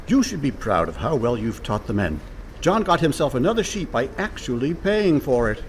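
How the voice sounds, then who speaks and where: Guy as upbeat fast-talking-schemer type con man, radio comedy, voice swoops all over, mid pitch. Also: sing-song, slight background noise, lightly acted. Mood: reassuring. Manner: breezy, persuasive. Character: cheerful, scheming, overconfident male con man. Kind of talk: comic radio play acting.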